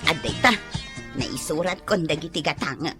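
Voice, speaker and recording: An actress with an Ilocano accent playing a sullen, nagging, quick-tempered wife in a comedy radio drama. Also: female voice, sits low, music in the background, natural voice. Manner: scolding, irritable.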